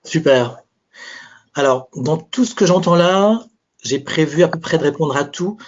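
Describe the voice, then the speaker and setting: A man's voice: a little high, lively intonation, unhurried. Man coaching on a muffled webinar. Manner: conversational, thinks aloud with restarts. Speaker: speaking coach presenting a webinar. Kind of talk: live webinar presentation.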